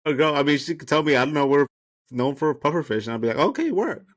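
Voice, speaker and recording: A man's voice: on the high side, lively intonation, quick pace. A man co-hosting a podcast, giving casual reactions and commentary in sassy slang with some swearing. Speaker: podcast co-host.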